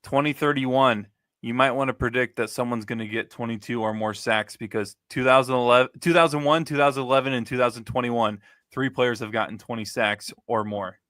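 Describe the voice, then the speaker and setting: Young male host, bright and punchy, leads the rundown. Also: mid-range voice, steady tone, fairly quick, dry recording, clean studio sound. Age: 20s. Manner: chatty, leads the segments. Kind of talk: casual sports chat.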